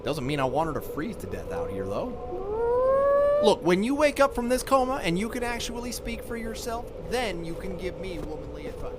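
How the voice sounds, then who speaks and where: Folksy hero type leader, kinda rambly n earnest, voice jumps all over, noisy background. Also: male voice, high voice, wildly swooping pitch, normal speed. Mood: fussing. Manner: earnest, rambling, hesitant, folksy drawl-like phrasing. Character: folksy, kindly male survivor leader hauling wounded mole. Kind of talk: audio drama acting.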